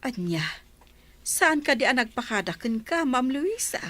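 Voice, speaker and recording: An actress playing a curious, chatty housemaid. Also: female voice, high voice, big pitch swings, unhurried, a bit bright, no echo, heavily acted.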